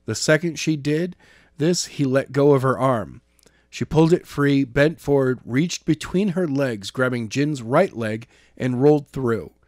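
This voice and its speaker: A man's voice: medium pitch, a little slow, a little mellow, no echo, studio quality. A man reading a story aloud as an amateur narrator, now and then stumbling and rereading a phrase. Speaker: audiobook narrator.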